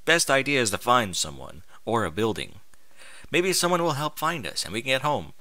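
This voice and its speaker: A man reading a first-person story aloud in an expressive, informal way, as if acting out the narrator's thoughts. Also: mid-range voice, normal speed, dry recording. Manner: expressive, informal, sweary interjections. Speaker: fan fiction reader.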